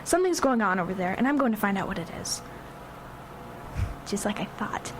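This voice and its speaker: A woman's voice: medium pitch, very animated, brisk, close to an everyday voice. A woman in a radio drama playing a bossy, protective older girl who speaks assertively and urgently. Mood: determined, hushed. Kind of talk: live radio drama acting. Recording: noisy live radio broadcast, background sound effects.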